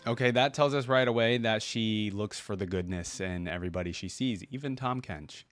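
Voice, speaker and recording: A man with a low voice hosts a reaction video. He talks informally and directly to his viewers in loose, chatty commentary. The sound is dry and close, with faint music underneath. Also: fairly quick, dry recording.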